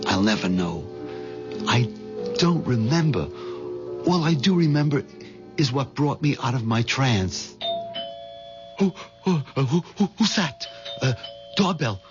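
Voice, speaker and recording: An actor in a 1970s radio drama playing a timid, nervous middle-aged husband who is easily flustered. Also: male voice, mid-range voice, sing-song, measured pace, pauses often. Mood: dazed confession. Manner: hesitant, stammering, flustered. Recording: dull vintage radio recording, music behind.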